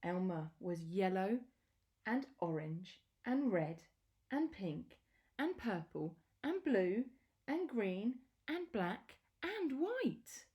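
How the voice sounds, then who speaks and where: A woman reading a children's picture book aloud in a deliberate, expressive storytelling manner with pauses. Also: mid-range voice.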